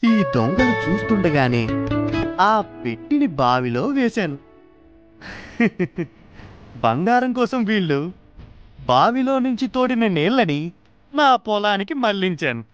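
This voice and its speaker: A man voicing a clever, gleeful court poet with a self-satisfied manner in an animated children's story. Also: sits high, takes it slowly, pauses often, heavily acted. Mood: proud. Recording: muffled lo-fi, music underneath.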